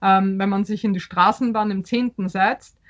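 A woman whose first language was Polish answers interview questions, pausing often and restarting her sentences as she thinks aloud. The sound is slightly dull and lo-fi. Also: medium pitch, fairly even intonation. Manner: thoughtful, hesitant, many fillers and restarts. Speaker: author.

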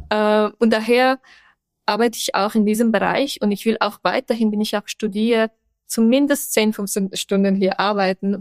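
A woman's voice: mid-range voice, no room sound. A woman from Afghanistan who speaks German with an Afghan accent, talking earnestly in a radio interview with frequent hesitations and restarts. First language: other than German. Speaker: interview guest, NGO worker.

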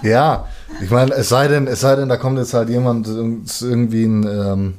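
A man's voice: mid-range voice, steady tone, moderate pace, darkish tone. A man talking casually in colloquial language as a musician, often hesitating while he searches for words. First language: German. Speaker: musician, rapper. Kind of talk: casual conversation.

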